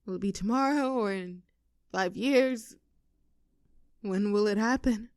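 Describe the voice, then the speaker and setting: A woman's voice: medium pitch, darkish tone, no echo, clean studio sound, lightly acted. A woman playing an affectionate, teasing girlfriend with a vulnerable streak in an intimate roleplay audio drama. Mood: vulnerable, tender. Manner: teasing, halting when earnest.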